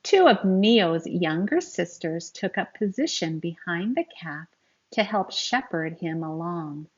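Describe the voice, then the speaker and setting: A woman reading a picture book aloud to young children in an expressive, teacherly way, speaking to them directly as she goes. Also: lowish voice, slow pace, husky, muffled recording. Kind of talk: read-aloud storytelling with asides to young listeners.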